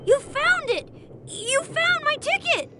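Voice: very high-pitched, animated, slow, very noisy, full character voice. An actor playing a timid, easily frightened little child in a video game, talking in a hesitant way. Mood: delighted. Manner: hesitant, fearful. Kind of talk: video game cutscene acting.

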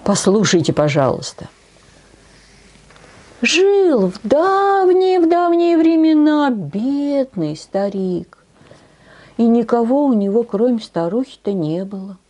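A woman in her sixties telling a folk tale to children in an expressive, grandmotherly manner with drawn-out phrases. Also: a little high. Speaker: storyteller for children. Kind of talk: folk tale storytelling to camera. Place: small decorated indoor room.

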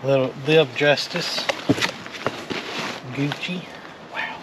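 Slow-talking man, noisy outdoor recording. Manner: short, offhand remarks. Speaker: storage unit reseller. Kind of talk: casual chat while sorting through boxes. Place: open storage unit doorway, outdoors. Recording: noisy outdoor camera audio, vehicle rumble.

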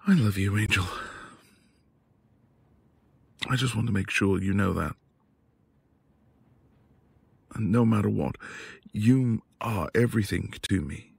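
A man acting as a devoted, flirtatious husband in an intimate ASMR roleplay, breathy and affectionate, often dropping toward a whisper. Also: on the low side, darkish tone, exaggerated voice. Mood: tender, sincere.

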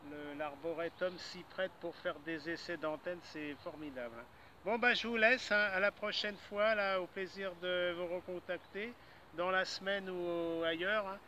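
A man's voice: high-pitched, steady tone, normal speed, bright, forceful tone. An older man chatting casually as an amateur radio operator outdoors, punctuating his phrases with little tag words. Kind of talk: casual ham radio exchange. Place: outdoors in a grassy park. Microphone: camera a few metres away, holding radio hand mic. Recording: outdoor noise behind voice.

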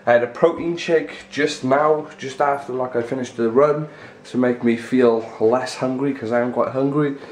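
A man's voice: mid-range voice, fairly even intonation, normal speed, hardly any pauses, slight background noise. Stuffy-nosed young man vlogging. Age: young adult. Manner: rambling, talks in loose run-on sentences. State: stuffy, congested nose and sore throat. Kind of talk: casual vlog chat. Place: small rooms in a house, indoors. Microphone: handheld camera at arm's length.

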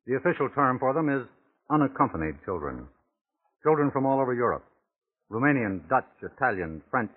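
A man narrating a 1940s radio documentary from a script in a measured, literary storytelling manner. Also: lowish voice, slightly rough. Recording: muffled phone-like vintage 1940s radio recording.

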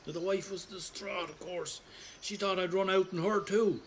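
A man's voice: high voice, fairly even intonation, normal speed, slight background noise, close to an everyday voice. An actor playing a crusty older Irish father, rambling and sweary, reminiscing conversationally in an audio drama monologue. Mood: wry, reminiscing. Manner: rambling, colloquial, salty storytelling.

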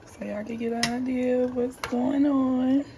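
A young woman with a hoarse, raspy voice chatting casually to viewers while she cooks in her home kitchen. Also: sits high, fairly even intonation, a little slow, noisy background. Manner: chatty, talks straight to viewers. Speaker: vlogger. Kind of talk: casual cooking vlog. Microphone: phone camera held close.

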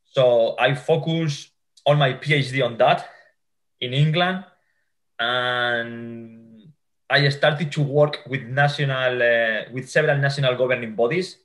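A man's voice: mid-range voice, a bit bright. A man in his forties from Spain, with a Spanish accent, answering interview questions as a futsal coach over a video call, often restarting his sentences. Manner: halting, with many restarts and fillers. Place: home room.

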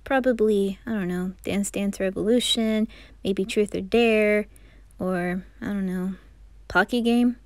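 A woman voicing a sweet, caring, bashful teenage anime boy in a gentle, hesitant way for an amateur roleplay. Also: mid-range voice, fairly even intonation, unhurried, close to an everyday voice.

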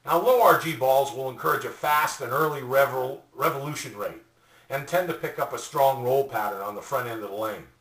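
An older man giving a scripted product explainer in a deliberate, formally worded way. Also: medium pitch, a bit bright. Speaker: presenter. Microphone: webcam a little way off. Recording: roomy echo, distant mic.

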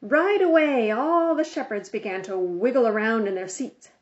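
A woman with a fairly high voice reads a children's storybook aloud. Her delivery is expressive storytelling. The recording is a little dull and lo-fi. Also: normal speed. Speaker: audiobook reader.

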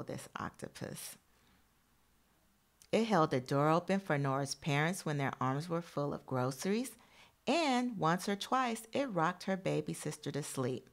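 A woman's voice: low-pitched, gravelly. A woman teacher reading a picture book aloud expressively to young children, adding encouraging asides addressed straight to them.

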